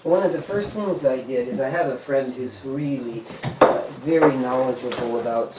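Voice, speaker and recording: A man pitching ideas informally to a group, rambling and tentative as he goes. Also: normal speed. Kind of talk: informal brainstorming talk. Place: indoor meeting room. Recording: roomy, distant mic, faint noise.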